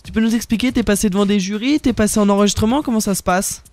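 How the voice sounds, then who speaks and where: A man's voice: sits high, fast, darkish tone, dry recording. A young man hosting a radio interview, talking casually with many fillers and restarts. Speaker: radio host.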